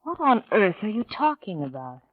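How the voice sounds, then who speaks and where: A woman's voice: medium pitch, big pitch swings, normal speed. An actress playing a composed, affectionate young wife puzzled by her husband, in 1940s American radio drama style. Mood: surprised, indignant. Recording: dull, noisy vintage radio recording with clicks.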